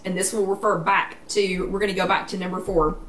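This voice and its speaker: Woman vlog host, casual live chat, emphatic and repetitive, mid pitch, roomy sound from a distant mic. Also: flat delivery, a little fast, a bit bright. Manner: chatty, emphatic, repeats herself. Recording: echoey room, distant mic, faint noise.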